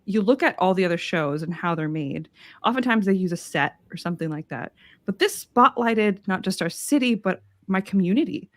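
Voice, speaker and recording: A woman from Houston is a guest on a podcast and talks with real enthusiasm as she tells stories. Her voice is mid-pitched and recorded close and dry. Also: expressive, moderate pace, no room sound. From Houston, Texas. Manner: enthusiastic, storytelling. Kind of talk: casual podcast chat.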